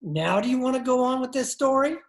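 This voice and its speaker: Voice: sits high, expressive, brisk. A performer playing an exasperated, sarcastic newspaper boss in a 1940s-style radio comedy, with emphatic delivery. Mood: grave. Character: exasperated newspaper boss named Ken, humoring then alarmed. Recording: roomy, echoey video-call recording.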